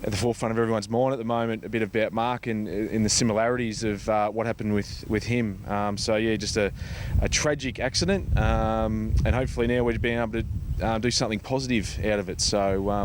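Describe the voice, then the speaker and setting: An Australian man giving informal interview answers as a guest, speaking in a hesitant, halting way with many pauses. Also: fairly low pitch, little pitch movement, background noise. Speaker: interview guest, charity foundation organiser.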